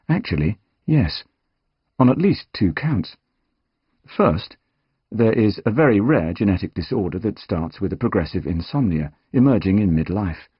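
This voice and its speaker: A man reading scripted nonfiction as an audiobook narrator, measured and articulate, with dramatic emphasis. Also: on the low side, breathy edge, no room sound, lo-fi sound.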